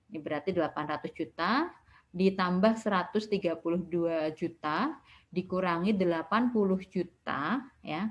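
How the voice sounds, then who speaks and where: A woman giving a recorded lecture as an accounting lecturer, explaining step by step in a didactic way with frequent short tag words. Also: sits low.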